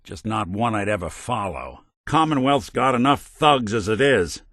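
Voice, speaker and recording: Weary robot detective, wry noir drawl, lowish voice, lively pitch, dry studio sound, game character. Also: male voice, normal speed, no echo, heavily acted. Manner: dry, world-weary noir drawl, gruff when angry. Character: weary male synth detective, wry and loyal. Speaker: companion character. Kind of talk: game voice lines.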